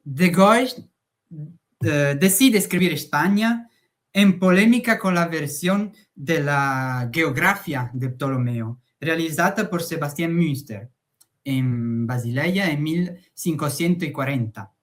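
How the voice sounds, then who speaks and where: Slow, hesitant male academic speaker. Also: fairly high pitch, lively intonation, a bit bright. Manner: slow, hesitant, frequent "eh" fillers. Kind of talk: conference talk.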